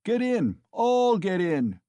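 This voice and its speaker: A man's voice: sits high, expressive, a little slow, warm tone, dry recording, pitched above the performer's own voice. A man voicing a drunken, thick-necked young male peasant who bellows and boasts in an audiobook. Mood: drunken bellowing.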